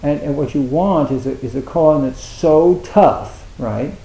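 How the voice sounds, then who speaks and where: Gravelly halting Zen teacher. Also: male voice, fairly high pitch, dark tone, roomy sound, low-quality recording. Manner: halting, many restarts, wry self-mocking humor. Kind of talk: dharma talk at a meditation retreat.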